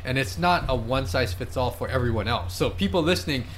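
A man who is a fitness and nutrition coach, explaining calmly and affirmingly in a casual conversation. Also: mid-range voice, a little fast, a little hiss or hum.

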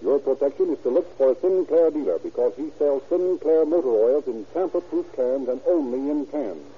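A man narrating and announcing a 1930s radio crime drama in a measured, formal manner. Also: mid-range voice, slightly rough. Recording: tinny old radio recording with faint hiss.

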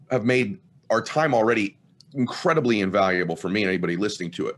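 A man hosting an interview, reflecting at length and warmly affirming what his guest says. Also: lowish voice, breathy edge. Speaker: podcast host. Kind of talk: conversational interview.

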